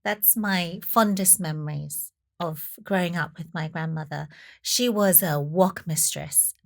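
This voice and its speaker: A woman's voice: fairly low pitch, measured pace, gravelly, no room sound, studio quality. A woman, telling her story as an interview guest, chatty and full of "you know" fillers. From Taiwan. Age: 40s. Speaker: chef and guest. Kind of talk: conversational storytelling.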